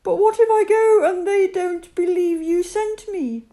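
A woman's voice: extremely high pitch, measured pace, dark tone, voice pushed up. A woman voicing Moses as a timid, shy old shepherd, anxious and pleading.

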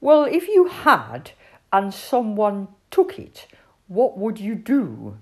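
A woman voicing a hearty, reasonable male bird captain in a storybook, brisk and commanding. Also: medium pitch, huge pitch swings, darkish tone, pitched above the performer's own voice, full character voice. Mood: firm, commanding.

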